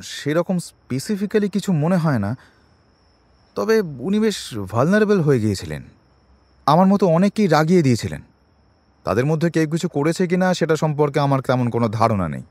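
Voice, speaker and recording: A man's voice: on the high side, big pitch swings, normal speed, pauses often, a little mellow, dry recording. An actor in an audio drama playing a defensive middle-class man who explains himself at length to the police, mixing in English words. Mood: defensive, uneasy. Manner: wordy, self-justifying, mixes in English words.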